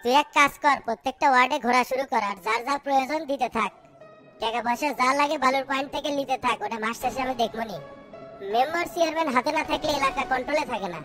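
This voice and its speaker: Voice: sits high, natural voice. A performer voicing scheming, boastful small-town political men in a cartoon comedy, blustering colloquially.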